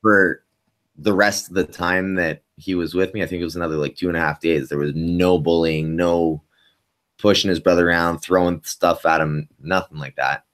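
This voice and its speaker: A young man with a boomy voice, the host, talking candidly about his kids over a video call from a home studio. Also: steady tone, normal speed, a bit bright. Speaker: podcast host. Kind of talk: casual interview chat.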